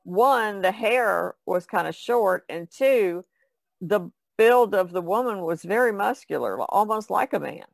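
A woman's voice: medium pitch, animated, measured pace, vocal fry, no echo. A woman chatting casually as a co-host on a podcast. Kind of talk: casual chat.

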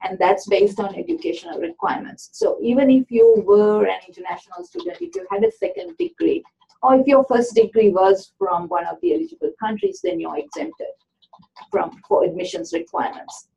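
A woman's voice: fairly high pitch, big pitch swings, a little fast, gravelly, warm tone. A woman walking through admission requirements in an informational webinar, explaining step by step with frequent "um" fillers. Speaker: admissions presenter. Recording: dull lo-fi webinar audio.

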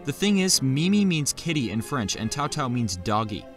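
A man tells a history story with dry, sarcastic asides, his voice rising and falling in lively swings. Soft music plays faintly beneath the voice-over. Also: mid-range voice, moderate pace. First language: not German. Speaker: history video narrator. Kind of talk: scripted comedic narration. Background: faint background music.

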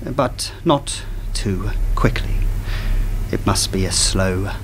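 An actor in a radio play voicing a cold, manipulative intelligence handler, sardonic and measured. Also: male voice, fairly low pitch, whispered. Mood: sardonic, calculating.

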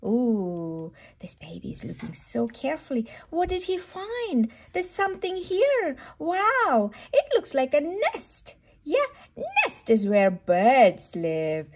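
A woman's voice: sits high, wildly swooping pitch, slow pace. A woman reading a picture book aloud to toddlers as a storytime host, in a sing-song, exclaiming manner with simple questions for a small child.

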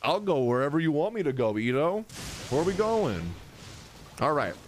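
A man's voice: fairly high pitch, big pitch swings, normal speed. A man in his thirties giving casual, slangy, emphatic reaction commentary as a game streamer, close on a desk microphone. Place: small room with streaming setup. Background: faint game music.

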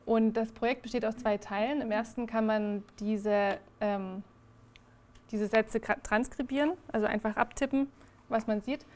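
A young woman presents her projects to a small audience in an indoor room, with a slightly high voice. She talks haltingly, with frequent fillers and restarts. The sound is picked up by a room mic at some distance. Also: fairly even intonation, darkish tone. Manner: hesitant, many fillers and restarts. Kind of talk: presentation talk.

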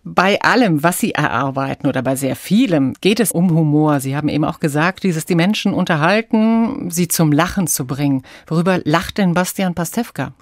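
A woman's voice: deep voice, expressive, normal speed, hardly any pauses, dry recording. A woman hosting a radio interview, putting conversational questions to her guest in a studio.

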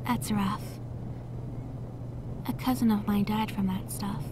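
A woman's voice: mid-range voice, steady tone, slow, noisy background, natural voice. A woman voicing a plucky young woman in withdrawal with dramatic, emotional delivery for a visual novel. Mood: perky, friendly. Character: young woman in withdrawal, plucky but desperate when locked up. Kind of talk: visual novel character acting.

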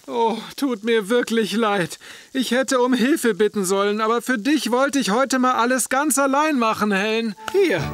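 A man's voice: very high voice, normal speed, fairly bright tone. A man voicing a clumsy, well-meaning husband who panics easily in a children's cartoon. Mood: sheepish. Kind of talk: German-dubbed children's cartoon acting. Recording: music behind the voice.

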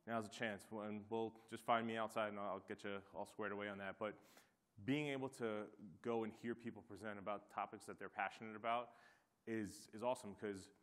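Session host: man, quick chatty delivery, lowish voice. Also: steady tone, a bit bright. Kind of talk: conference panel talk.

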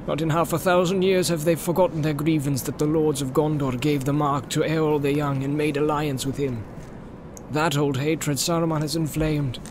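A man's voice: a little high, background noise. A man reading a dramatized audiobook as scripted narration. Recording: music behind the voice.

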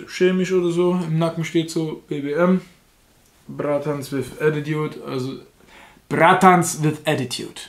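Chatty young German man, fairly high voice. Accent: German with a slight foreign lilt. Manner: chatty, frank, laughs at himself. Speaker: unboxing reviewer. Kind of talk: casual unboxing commentary.

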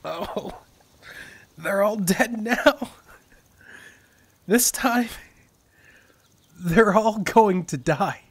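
A woman playing a dazed, badly injured crash survivor in a sci-fi audio drama, groaning and halting in a hoarse voice. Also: medium pitch, no room sound, full character voice. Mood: bitter, grieving. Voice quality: hoarse, gravelly, breathy.

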